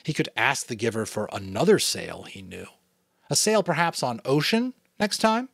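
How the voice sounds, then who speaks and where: A man from New England reading a novel aloud as a father, in a dramatic, expressive narration style. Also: mid-range voice, normal speed, gravelly, no echo, studio quality. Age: adult, a father. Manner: expressive, varied delivery. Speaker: audiobook reader.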